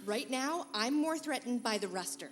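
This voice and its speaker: Lady doing a spunky sarcastic girl reporter, radio play, highish voice, echoey room w hum. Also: animated, moderate pace, natural voice. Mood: defiant. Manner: sassy, firm, sarcastic. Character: feisty, defiant young woman reporter and crew member. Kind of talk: live pulp sci-fi radio comedy acting. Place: live convention stage. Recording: echoey hall, light hum.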